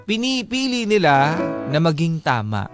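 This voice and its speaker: A man's voice: fairly high pitch, huge pitch swings, measured pace. A man with a Filipino accent hosting a radio show, chatting casually, agreeing readily and reading out listener comments. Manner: agreeable, chiming in with short affirmations. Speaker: radio host. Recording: music clearly under the voice. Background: background music.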